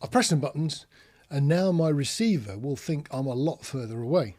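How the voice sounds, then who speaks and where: A man's voice: fairly high pitch, lively intonation, normal speed, a little mellow. A British man giving an informal, practical tutorial, explaining things plainly with anecdotes as an instructor. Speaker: marine radio instructor.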